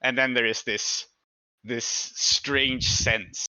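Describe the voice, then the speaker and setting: A man running a tabletop game, narrating in a halting, conversational way as he thinks aloud. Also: medium pitch, fairly even intonation, pushed and bright, no room sound, poor audio. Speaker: game master.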